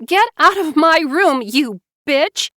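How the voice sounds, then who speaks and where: Distraught young woman, tearful high cries, hysterical, voiced by a woman reader. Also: animated, takes it slowly, a bit bright, no room sound, clean studio sound, heavily acted.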